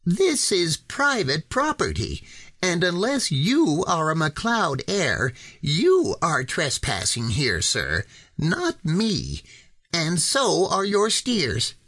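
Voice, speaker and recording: A man's voice: on the high side, wildly swooping pitch, slow pace, pushed and bright, no echo, clean studio sound, voice pushed up, exaggerated voice. A man voicing an indignant, formal bearded doctor who scolds in stiff, proper speech. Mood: defiant.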